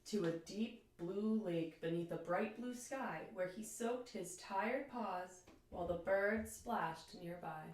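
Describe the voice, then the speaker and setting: A woman reading a children's storybook aloud like a teacher, with expressive, deliberate phrasing. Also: medium pitch. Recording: echoey room, distant microphone.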